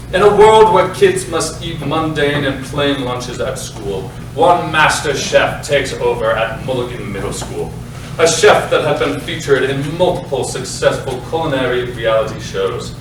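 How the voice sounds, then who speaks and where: A man's voice: medium pitch, lightly acted. Man as a pompous playwright, very echoey. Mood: grandly ominous. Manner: pompous, self-important. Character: pompous, defensive playwright presenting his lurid works. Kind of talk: comic theatrical monologue to audience. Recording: very echoey noisy hall, far off.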